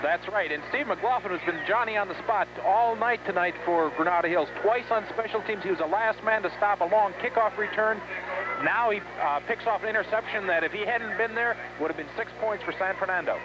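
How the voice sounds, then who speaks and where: High-voiced male color commentator, muffled old radio. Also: fairly quick. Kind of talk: live radio sports analysis. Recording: noisy muffled 1970s radio broadcast.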